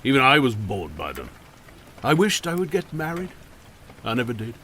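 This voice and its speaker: An actor playing a querulous, self-pitying old retired lawyer in an audio drama, complaining theatrically. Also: male voice, medium pitch, sing-song, normal speed, pushed and bright, slight background noise. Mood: rueful, self-mocking.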